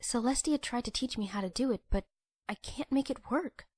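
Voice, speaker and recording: A woman's voice: fairly high pitch, moderate pace, a little mellow, no room sound, natural voice. A woman voicing an earnest, bookish young pony princess in a cartoon-style comic dub. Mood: earnest, concerned.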